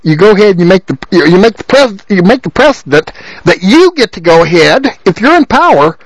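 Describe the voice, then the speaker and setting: A man with a loud voice, a political candidate telling a long rambling story with many repeats and fillers. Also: high-pitched, big pitch swings, brisk, no echo, poor audio. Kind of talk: rambling monologue.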